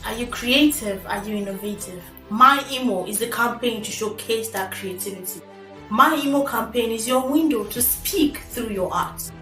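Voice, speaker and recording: A woman with a Nigerian accent reading a scripted radio campaign advert in a declamatory announcer's manner. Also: on the high side. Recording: roomy, distant voice over music. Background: music.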